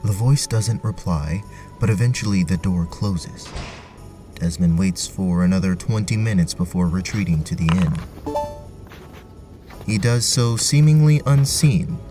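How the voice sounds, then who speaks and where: Very deep-voiced male storyteller. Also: mellow. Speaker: narrator, game master. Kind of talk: scripted present-tense story narration.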